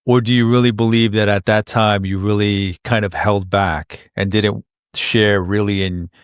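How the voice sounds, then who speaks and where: A man's voice: on the low side, little pitch movement, measured pace, clear, resonant tone, dry recording, studio quality. A male podcast host interviewing a guest, asking long questions that he keeps rephrasing.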